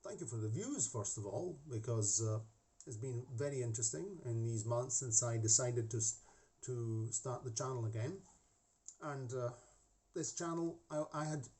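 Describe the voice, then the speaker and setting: Rambling half-Scottish man, mellow voice. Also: medium pitch, moderate pace. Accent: Scottish-tinged English. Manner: rambling, many restarts and asides. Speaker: hobby model-making channel host. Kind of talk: casual chat to camera. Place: home hobby room with desk. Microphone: camera facing speaker.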